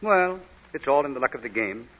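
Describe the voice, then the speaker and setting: An actor playing a discreet, unruffled thief's accomplice in a vintage radio drama, courteous and understated. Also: male voice, medium pitch, animated, a little fast, fairly bright tone. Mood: wary, calm. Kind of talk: 1930s-40s radio drama acting. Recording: muffled vintage radio broadcast.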